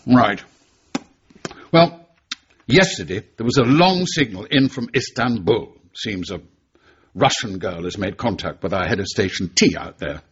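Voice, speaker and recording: An exasperated older English spymaster in a radio play. His low voice is very raspy and swings widely in pitch. He is authoritative and brusque, on a slightly muffled recording. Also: animated, measured pace, full character voice. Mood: brusque, businesslike. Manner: brusque, hesitant on personal matters, authoritative. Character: gruff older male British spy chief, stern and exasperated. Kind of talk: radio drama acting.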